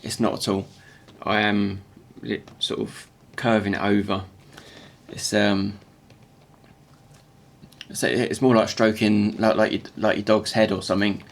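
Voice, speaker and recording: Halting man with a croaky gravelly voice. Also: fairly low pitch, flat delivery. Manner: halting, with many repeated words and restarts. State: croaky morning voice. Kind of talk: casual workshop tutorial voice-over.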